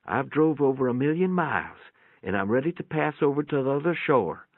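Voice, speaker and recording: A man's voice: mid-range voice, expressive, a little fast, no echo, voice pushed up, natural voice. A man voicing an elderly country mother in a story, resigned and resolute, with old-time phrasing, on a tinny recording. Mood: resigned, reassuring. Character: frail but resolute elderly mother ready to die. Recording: tinny phone-like sound.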